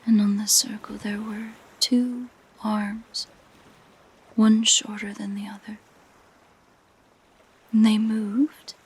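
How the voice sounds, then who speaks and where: A woman voicing a possessive, curious siren in an intimate audio roleplay, speaking in soft, gentle, musing whispers. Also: medium pitch, full character voice, low-quality recording. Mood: soft, possessive fondness. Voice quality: soft, breathy, mostly whispered. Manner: slow, gentle, musing delivery. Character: possessive, curious female siren, sweetly entranced by humans.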